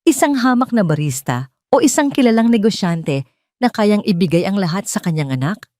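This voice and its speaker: A woman voicing a male ex-lover, a scheming businessman who speaks calmly but with threat, in an audio drama. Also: mid-range voice, moderate pace, dry recording, studio quality, natural voice. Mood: threatening.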